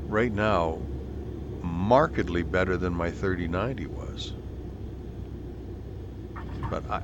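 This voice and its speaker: Deep-voiced guy yapping away in a chill self-deprecating way, low and gravelly. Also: noisy background. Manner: rambling, self-deprecating, chatty. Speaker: flight sim video host. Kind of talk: casual commentary while flying in VR.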